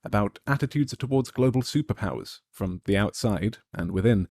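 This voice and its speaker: Low-voiced podcast host, polished delivery. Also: male voice, animated, normal speed, dark tone, slight background noise. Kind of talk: scripted intro and interview questions.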